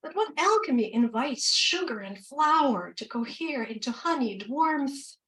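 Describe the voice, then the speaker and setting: A woman from Ukraine reading her poems slowly and deliberately, with quicker, chattier asides between them. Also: high-pitched, expressive, a bit bright, distant mic, low-quality recording. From Ukraine, former Soviet Union. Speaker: poet and translator. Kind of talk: poetry reading with spoken asides.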